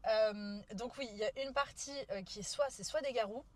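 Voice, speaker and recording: A young woman chatting informally and slangily with live viewers, soft-spoken by nature. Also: on the high side, a little fast, crowd noise. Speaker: streamer, travel vlogger. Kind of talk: casual live chat with viewers.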